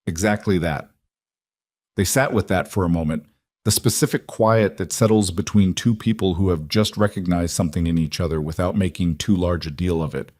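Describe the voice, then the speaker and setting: Male narrator, low voice, scripted storytelling, dry sound. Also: brisk, a little mellow, dry recording.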